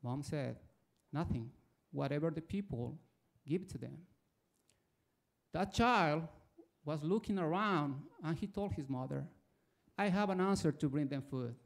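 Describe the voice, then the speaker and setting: A man's voice: a little high, measured pace, gravelly. A man in his forties from Ecuador with an Ecuadorian accent, telling a personal story from the floor before asking his question. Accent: Ecuadorian Spanish. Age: 40s. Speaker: audience member asking a question. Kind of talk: prepared speech from the floor.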